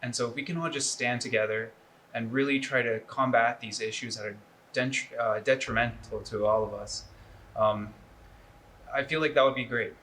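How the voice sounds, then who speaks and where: Young male interview guest, medium pitch, earnest delivery, slight background noise. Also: steady tone, normal speed, fairly bright tone. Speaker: cast member and guest. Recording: faint background room noise.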